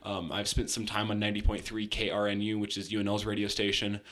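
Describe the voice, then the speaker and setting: A man's voice: fairly low pitch, level tone, quick pace, a bit bright. A young man in his twenties with a practiced radio voice, co-hosting a casual sports podcast with jokey, self-deprecating banter.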